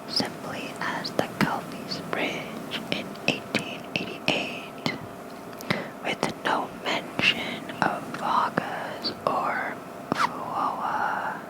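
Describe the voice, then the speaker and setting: Whispered ASMR reading, very slow pace, soft and hushed, bedtime folklore narration. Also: poor audio. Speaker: ASMR creator reading aloud.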